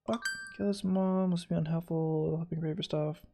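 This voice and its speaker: A man's voice: fairly high pitch, steady tone, moderate pace, creaky, mellow, faint music behind. A man doing casual gameplay commentary as a streamer, swearing and muttering to himself in short fragments. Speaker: video game streamer.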